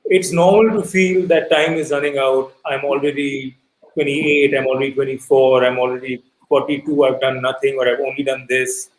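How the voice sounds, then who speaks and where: A man's voice: medium pitch, steady tone, moderate pace. A male filmmaker guest in a casual panel chat, talking freely and acting out quotes as he goes. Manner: chatty, self-correcting, acts out quotes. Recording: echoey room, faint hum.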